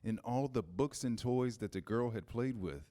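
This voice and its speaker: A man narrating a scripted children's story in measured, pausing phrases. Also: medium pitch, mellow. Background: music, guitar.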